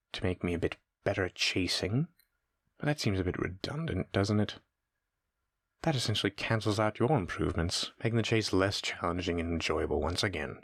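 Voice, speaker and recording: A man playing a sadistic, playful shape-shifting monster who muses and taunts with unhurried menace in a horror audio roleplay. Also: on the low side, no echo, studio quality. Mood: scheming.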